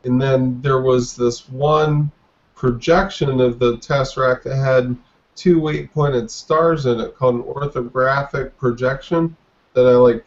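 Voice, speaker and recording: A man in his forties from the United States talking casually as an interview guest, in a halting, reflective way. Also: medium pitch, highs cut off. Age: 40s. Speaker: podcast guest, artist.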